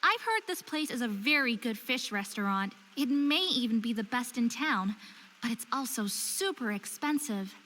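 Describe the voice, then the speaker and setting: A woman's voice: fairly high pitch, expressive, normal speed, sharp tone, in a large hall, a little hiss or hum. A woman playing an earnest, stubborn and curious young woman of faerie descent in a visual novel game. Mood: musing. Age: young adult. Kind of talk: visual novel voice acting with inner monologue.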